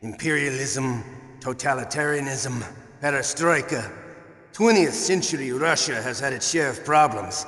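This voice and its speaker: An actor playing a sadistic, theatrical older torturer in a video game, with a menacing, taunting, drawn-out delivery and a gravelly voice. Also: male voice, mid-range voice, a bit bright, lightly acted. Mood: fervent, proud. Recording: echoey hall with long reverb.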